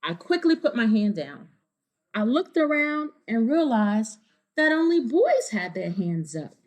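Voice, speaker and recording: A woman reads a children's story aloud at an unhurried pace, with a very animated, swooping pitch. She stops to explain things simply and checks in with her young listeners.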